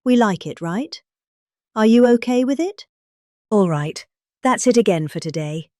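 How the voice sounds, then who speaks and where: A woman's voice: mid-range voice, lively intonation, measured pace, a little mellow, no room sound, clean studio sound. A middle-aged British woman reading a speech aloud in a theatrical, conversational manner, posing rhetorical questions as she goes. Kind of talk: dramatic read-aloud of a speech transcript.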